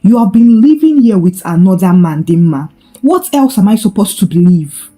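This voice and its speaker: An embittered, accusing husband in a folktale as a woman narrator plays him. The delivery has animated pitch and a mellow tone, over music. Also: moderate pace, natural voice. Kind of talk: dramatised folktale storytelling.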